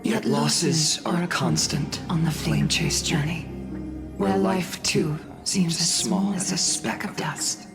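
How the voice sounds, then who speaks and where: Game drama, grave inner voice: deliberate, unhurried, solemn, over music. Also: mid-range voice, gravelly. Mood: grave, questioning.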